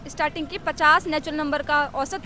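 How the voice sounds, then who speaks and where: A young woman with a high voice answers questions in a casual, conversational way, explaining as she recalls. She is recorded outdoors with clear traffic noise around her. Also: fairly even intonation, fairly quick. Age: young adult. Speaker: exam candidate being interviewed. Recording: noisy outdoor street with traffic.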